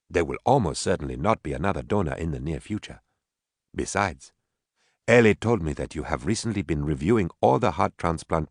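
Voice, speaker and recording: Man voicing a formal British gentleman, low-pitched. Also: breathy edge, dry recording, clean studio sound, full character voice. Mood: calm insistence. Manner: formal, pleading. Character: courteous, resolute, gravely ill male pharmacist.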